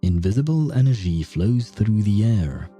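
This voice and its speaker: A man reading a game's story aloud as a streamer, with measured narration and casual reactions. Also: lowish voice, expressive, mellow, soft background music.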